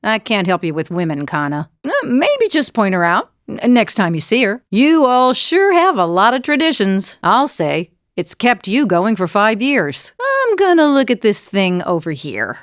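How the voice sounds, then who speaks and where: A woman's voice: medium pitch, sing-song, moderate pace, few pauses, dry recording, studio quality, close to an everyday voice. A woman voicing a bold, teasing female fighter and wanderer in a fantasy video game, with a wry, conversational delivery. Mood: teasing, curious.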